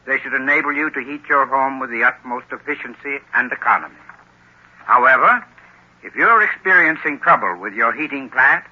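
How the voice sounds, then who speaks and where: Casting: American male radio expert, mid-pitched and husky, formal, instructive sponsor read. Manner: formal, instructive, carefully paced phrasing. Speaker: heating expert in a radio advertisement. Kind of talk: scripted 1930s radio advice. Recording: muffled old radio broadcast.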